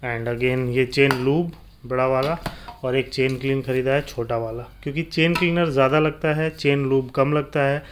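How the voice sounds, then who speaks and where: A man's voice: medium pitch, steady tone, normal speed. A man with an Indian accent narrating a casual vlog, informally mixing English phrases into his speech. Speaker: motorcycle vlogger. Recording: clear background noise, ambient sound.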